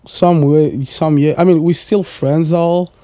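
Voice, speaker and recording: Dark-voiced man, rambling casually. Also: a little high, steady tone, a little slow, no room sound. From Portugal. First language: Portuguese. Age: 20s. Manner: rambling, heavy fillers and casual swearing. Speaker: guest, music producer. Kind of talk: casual podcast chat.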